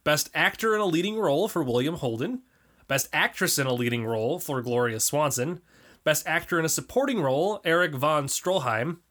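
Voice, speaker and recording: A woman hosting a casual podcast, laughing often and briskly reading award lists. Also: low-pitched, animated. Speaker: podcast host.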